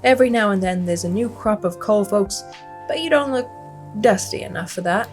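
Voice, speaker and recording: A woman's voice: mid-range voice, moderate pace, a little mellow, soft background music, close to an everyday voice. A woman voicing a friendly, folksy, chatty young small-town woman in a visual novel game.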